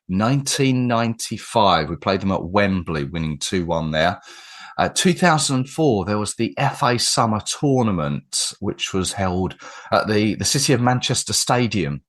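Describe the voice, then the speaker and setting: A man from England hosting a football podcast, chatting with guests in a rambling, friendly way with frequent restarts. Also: fairly low pitch. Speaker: podcast host. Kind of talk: casual podcast interview.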